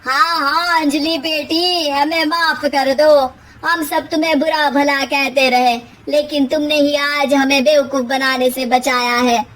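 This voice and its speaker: A woman voicing a repentant older villager in a cartoon story, speaking slowly and apologetically. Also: sits high, fairly even intonation, a bit bright. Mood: apologetic.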